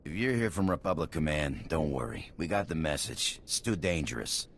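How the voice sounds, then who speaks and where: A gruff work boss for a sci-fi game. He has an extremely low voice and a blunt, weary, sarcastic way of talking, over faint noise. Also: sing-song, moderate pace, heavily acted. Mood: fed-up. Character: gruff, fed-up male work crew foreman. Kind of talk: video game character acting.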